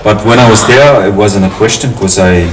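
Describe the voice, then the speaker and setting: A man's voice: lowish voice, moderate pace. A Samoan man in his thirties talking over casual conversation at home, thoughtful and hesitant, with fillers and restarts. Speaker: interviewee, family host. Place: home in a city. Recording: roomy, distant mic with noticeable noise.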